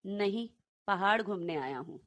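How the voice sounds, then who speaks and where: A woman's voice: mid-range voice, expressive, moderate pace, natural voice. A woman storyteller voicing a kind, easygoing young male tourist in an audio story. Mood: matter-of-fact.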